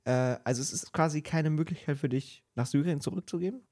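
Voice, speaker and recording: A man's voice: fairly high pitch, fast, a little mellow. A man co-hosting a podcast interview, asking long, wordy questions in a conversational way. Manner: wordy, rephrases answers as questions.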